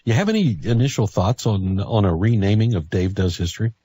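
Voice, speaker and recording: A man's voice: fairly low pitch, lively intonation, a little fast, warm tone, slightly rough. An American man hosting a morning talk radio show in a casual, chatty style. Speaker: radio host.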